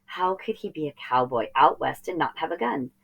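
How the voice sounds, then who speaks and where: A woman reading a children's story aloud in an expressive narrating style. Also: low voice, normal speed, creaky, lo-fi sound. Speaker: storybook reader.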